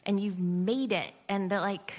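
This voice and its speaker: A woman's voice: mid-range voice, fairly even intonation, unhurried, darkish tone, slightly rough. A middle-aged American woman, an interview guest, telling personal stories conversationally in a wry, self-deprecating way. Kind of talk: conversational storytelling in a live interview.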